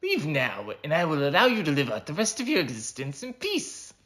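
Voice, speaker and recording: A man voicing a taunting, dastardly masked assassin from a short story, with drawn-out, mocking delivery in an exaggerated voice. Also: a little high, huge pitch swings, a bit bright, voice pushed up. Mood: menacing.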